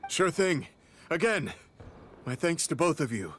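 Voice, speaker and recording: A man's voice: on the high side, big pitch swings, measured pace, a bit bright, a little hiss or hum. An actor playing a grateful, shaken male transporter of goods in a video game, polite and earnest. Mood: relieved, thankful. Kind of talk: video game character dialogue.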